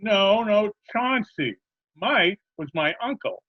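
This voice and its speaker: A man's voice: sits high, lively intonation, slow, exaggerated voice. A man playing a genial, scatterbrained butcher in old-time radio comedy style, chatty, earnest and politely literal-minded. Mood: chatty, obliging. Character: genial scatterbrained male butcher who muddles every question. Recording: dull remote video-call recording.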